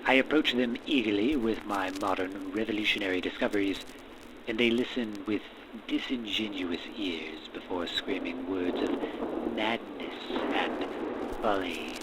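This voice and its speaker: Casting: manic male mad scientist for gothic horror audio drama, acted by a man; low-pitched, courteous, florid, grandiose, over clearly audible storm noise. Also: close to an everyday voice. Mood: aggrieved, theatrical. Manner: florid, formal, dramatic pauses and sudden emphasis. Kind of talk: gothic horror audio roleplay, theatrical monologue. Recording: steady rain and thunderstorm noise behind. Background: rain and thunderstorm ambience.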